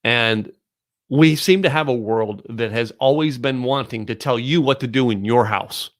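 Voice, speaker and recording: A man's voice: mid-range voice, moderate pace, no room sound, clean studio sound. Blunt, emphatic male podcast host. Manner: blunt, emphatic, preachy, repeats "ladies and gentlemen". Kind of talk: opinionated podcast monologue. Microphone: recorded on camera.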